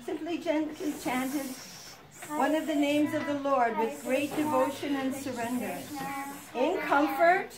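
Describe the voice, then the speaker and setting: A woman's voice: high voice, rough recording. A woman narrating a scripted puppet-show story. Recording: live puppet show, audience noise.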